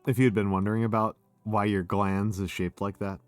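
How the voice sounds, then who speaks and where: A man's voice: fairly low pitch, flat delivery, normal speed, soft background music. Drunk man rambling on stream. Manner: rambling, slurring restarts. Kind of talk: drunk casual chat.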